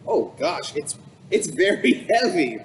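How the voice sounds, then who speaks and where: A male host with a fairly high, animated voice chats casually and responds with enthusiasm. The room is echoey, with clear noise in the background. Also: slow pace. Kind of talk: casual interviewing for a children's program.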